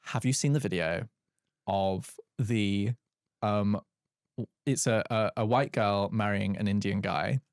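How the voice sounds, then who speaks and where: A man's voice: lowish voice, measured pace, clean tone, no echo. Chatty man with a dry close sound. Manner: chatty, laughs easily, trails off with fillers. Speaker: podcast co-host. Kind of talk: casual chat.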